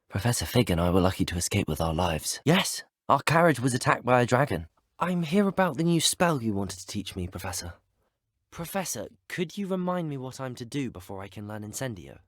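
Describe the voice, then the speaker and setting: A man's voice: mid-range voice, dry recording, studio quality. A young man with a British accent playing a polite, curious, self-assured teenage wizard student in a fantasy video game, well-spoken and courteous. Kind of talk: scripted video game voice acting.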